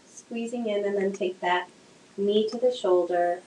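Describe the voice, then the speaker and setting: A woman teaching a slow yoga class with gentle, soothing cues, her voice a little nasal from a cold. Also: medium pitch. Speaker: yoga instructor. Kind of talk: guided yoga class instruction. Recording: echoey room, faint noise.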